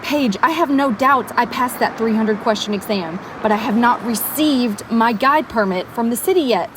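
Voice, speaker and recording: A woman's voice: fairly high pitch, normal speed, natural voice. An actress playing an earnest, cautious young woman historian who talks in a precise, prim, exasperated way in an audio drama. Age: young adult. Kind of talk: horror audio-drama dialogue. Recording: noisy street and vehicle ambience.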